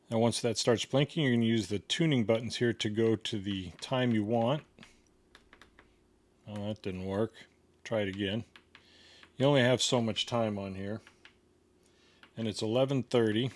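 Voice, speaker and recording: A man's voice: medium pitch, no room sound. A man giving a casual hands-on product tutorial in a plain, step-by-step instructional way. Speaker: product reviewer demonstrating a gadget.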